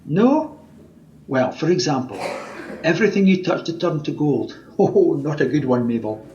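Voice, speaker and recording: An actor playing a fussy, officious wish-granter with a pedantic, chatty manner in a radio play. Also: male voice, a little high, expressive, moderate pace, dark tone, close to an everyday voice. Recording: muffled home recording, background noise.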